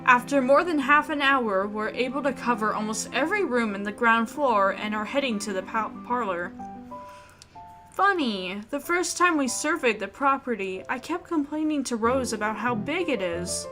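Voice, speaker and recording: A woman's voice: a little high, normal speed. A woman reading a horror visual novel aloud expressively, with casual asides of her own. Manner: expressive, acts out the narrator's thoughts. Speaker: host of a game playthrough, reading aloud. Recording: background music under the voice. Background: music.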